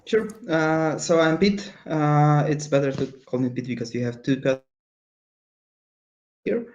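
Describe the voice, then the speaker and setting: A man from Poland speaks in a fairly high voice, hesitant and filler-heavy. His call audio sounds muffled. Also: steady tone, measured pace, darkish tone, slight background noise. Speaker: consultant. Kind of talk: casual self-introduction. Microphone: video call. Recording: dull video-call audio.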